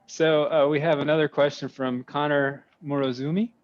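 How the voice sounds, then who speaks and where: A man's voice: fairly high pitch, little pitch movement, moderate pace. A man hosting an online interview, friendly, asking questions and reading out questions from the audience. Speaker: interview host. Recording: dull lo-fi sound.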